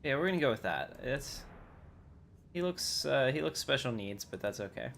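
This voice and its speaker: A man's voice: medium pitch, expressive, moderate pace, gravelly, fairly bright tone. A man hosting a casual gameplay livestream, thinking aloud informally as he plays and chats to viewers. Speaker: livestreamer, game player and channel host. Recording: faint noise, keyboard typing.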